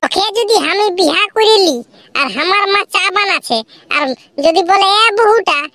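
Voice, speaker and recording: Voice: very high voice, moderate pace, pushed and bright, no room sound, heavily acted. A performer voicing a cheeky, dramatic cartoon villager who teases and whines in a rural Purulia accent. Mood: mocking. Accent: Purulia, rural western Bengal. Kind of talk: comedy cartoon dialogue in rural dialect.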